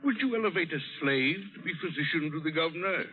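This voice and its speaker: An actor playing a grumbling, gouty, blustering colonial governor in an old-time radio drama. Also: male voice, on the high side, animated, natural voice. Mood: grumbling, fussy. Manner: blustery, fussy, drawn-out delivery. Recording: tinny, band-limited vintage radio broadcast.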